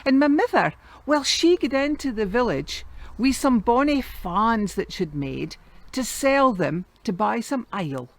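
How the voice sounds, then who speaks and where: Woman putting on a cheeky clever farm boy, Doric accent, high bright voice, dry close sound. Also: big pitch swings, measured pace, no room sound. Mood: sly. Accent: Scottish, Doric.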